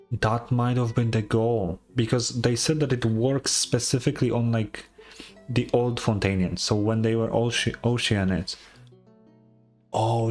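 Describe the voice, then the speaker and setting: A man streaming a game, reacting casually and thinking aloud. He sounds tired, with a mid-pitched voice. Game music plays faintly behind him.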